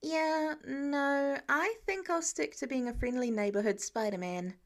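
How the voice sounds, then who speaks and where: A woman voicing an eager, earnest teenage boy with a playful streak, excitable and insistent, in an audiobook story. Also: sits high, unhurried. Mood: cheerful.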